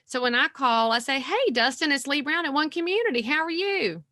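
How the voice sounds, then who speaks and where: A woman talks in a bright, ringing, somewhat high voice. She speaks with folksy, energetic animation, and the sound is dry and close to the mic. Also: a little fast, no echo. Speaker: guest realtor. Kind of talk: podcast interview.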